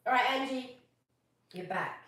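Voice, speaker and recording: A woman hosts a live read-aloud in a playful, casual way. Her voice sits fairly high and takes its time. It comes through heavily echoed. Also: a little slow. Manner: playful, expressive storyteller for children. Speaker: live stream storytelling host. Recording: very echoey room, distant from mic.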